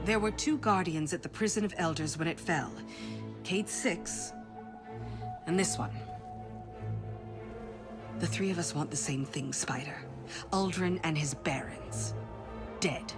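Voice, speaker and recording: A woman's voice: on the low side, measured pace, long pauses, over music, close to an everyday voice. An actress playing a determined woman in a video game cutscene, speaking tersely and bluntly.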